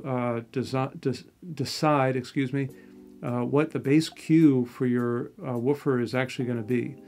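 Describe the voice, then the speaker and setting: A middle-aged man, a loudspeaker designer, casually explaining his home listening room, hesitant with restarts. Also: mid-range voice. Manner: hesitant, many fillers and restarts, technical. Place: home listening room, carpeted and acoustically dead.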